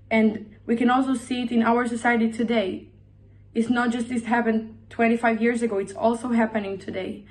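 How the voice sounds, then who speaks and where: A teenage female high school student giving a prepared personal reflection, recorded in a bedroom on a camera. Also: rough recording. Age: teens. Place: indoors in a bedroom.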